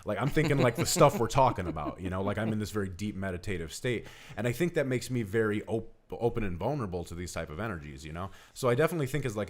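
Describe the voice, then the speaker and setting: A man telling a personal story casually on a podcast, earnest and emphatic in the way he describes things. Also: fairly low pitch. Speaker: podcast co-host.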